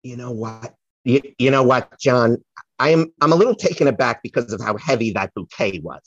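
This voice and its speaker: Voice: mid-range voice, lively intonation, moderate pace, breathy edge, no echo. A performer playing an apologetic, flustered psychic in a comedic audio play, stammering through excuses, on a slightly dull recording. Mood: flustered, covering up. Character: flustered, apologetic psychic to the stars, fibbing brightly.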